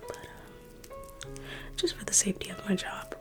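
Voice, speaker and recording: Young woman whispering asmr museum tour, teasing salesy vibe, soft music behind. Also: very high voice, wildly swooping pitch, unhurried. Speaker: ASMR creator playing a museum guide.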